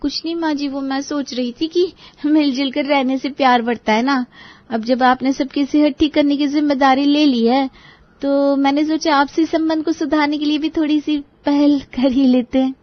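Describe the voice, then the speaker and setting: A woman's voice: high-pitched, steady tone, moderate pace, no room sound, highs cut off, natural voice. A woman playing a timid, polite young Indian daughter-in-law in a cartoon family drama, speaking deferentially and coaxingly. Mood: hesitant, coaxing.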